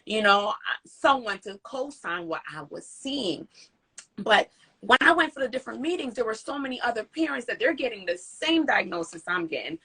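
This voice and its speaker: A woman with a bright, forceful voice and a husky, slightly rough edge hosts a live video call interview. She talks earnestly and with feeling, acting out the words of people she quotes. Her voice carries a grainy texture throughout. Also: fairly high pitch, normal speed, poor audio. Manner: earnest, animated storytelling with emphatic quoted speech. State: recovering from voice loss. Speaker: interview host and parent advocate. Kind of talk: casual live-stream interview.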